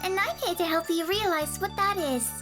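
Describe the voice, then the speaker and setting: A little unicorn spirit with a very high, small, girlish voice. The creature speaks gently and knowingly, as a character in a cartoon-pony audio story. Music plays clearly underneath. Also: normal speed. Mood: calm, knowing. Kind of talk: audio fan fiction character acting. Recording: music behind the voice.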